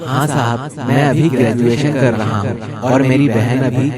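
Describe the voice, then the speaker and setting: A man playing an earnest, responsible orphaned older brother in an animated moral story. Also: mid-range voice, a little slow, a loud crowd, lightly acted.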